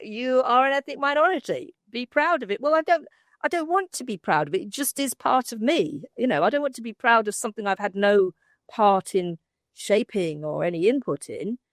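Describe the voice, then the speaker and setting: A woman's voice: on the high side, no echo, studio quality. An older woman with a British accent, arguing her case as a debate panellist in an emphatic, discursive way. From Britain. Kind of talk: informal debate argument.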